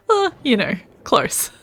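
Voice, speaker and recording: A woman's voice: sits high, huge pitch swings, slow pace, fairly bright tone, no room sound, faint music behind. An Australian woman chatting casually while streaming gameplay and answering viewers' comments.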